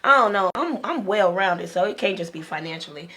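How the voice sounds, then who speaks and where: A woman's voice: mid-range voice, expressive, fairly quick, fairly bright tone. An American woman talking informally as a panelist in a casual podcast discussion, often repeating her phrases.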